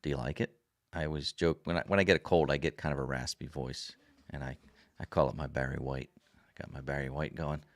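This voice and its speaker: A man with a very deep, raspy voice chats in a folksy, rambling way as he reads viewer comments on his livestream. The sound is dry and close, with no room echo, as if he is sitting right at his desk mic. His throat is rough from a recent sore throat, and he fills the pauses with easy "uh"s and "um"s. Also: fairly even intonation. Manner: rambling and folksy, many fillers. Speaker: livestream host. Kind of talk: casual chat reading viewer comments. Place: home desk. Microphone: desk camera.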